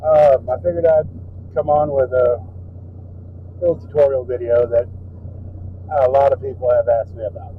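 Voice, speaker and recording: A man's voice: mid-range voice, fairly even intonation, normal speed, pauses often. Tinny-sounding man giving a casual how-to. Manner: plain-spoken, step-by-step, folksy phrasing. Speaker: truck driver and trainer giving a tutorial. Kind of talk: informal hands-on how-to narration. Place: inside a truck cab. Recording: tinny phone-like audio with background noise.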